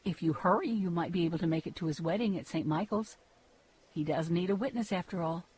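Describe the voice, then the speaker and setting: A woman playing a chatty, slyly helpful household acquaintance in a gothic audio drama, brisk and talkative. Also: fairly low pitch, darkish tone, close to an everyday voice, poor audio. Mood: breezy, knowing.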